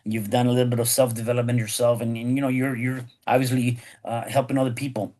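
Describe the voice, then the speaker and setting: A man's voice: mid-range voice, flat delivery, quick pace, darkish tone. Rambling man hosting a podcast. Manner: rambling, many repeated words and restarts. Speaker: podcast host. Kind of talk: casual podcast conversation.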